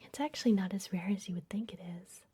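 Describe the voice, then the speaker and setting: A woman playing a secretive, soothing vampire librarian in a fantasy ASMR roleplay, speaking in a soft, breathy whisper close to the microphone. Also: medium pitch. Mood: soothing, instructive. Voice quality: breathy, mostly unvoiced whisper. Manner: soft, gentle, unhurried, confiding.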